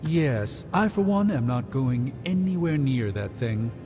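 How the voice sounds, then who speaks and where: An actor playing a haughty, wary sorcerer doctor with formal, precise speech in a video game cutscene. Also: male voice, medium pitch, big pitch swings, measured pace, over music. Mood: wary disdain.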